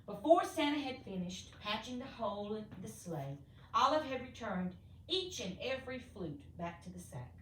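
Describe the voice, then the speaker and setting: A woman reading a children's storybook aloud expressively, with theatrical, deliberate emphasis for young listeners. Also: a little high, big pitch swings, a bit bright. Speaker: storybook reader. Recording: echoey room, distant mic, faint noise.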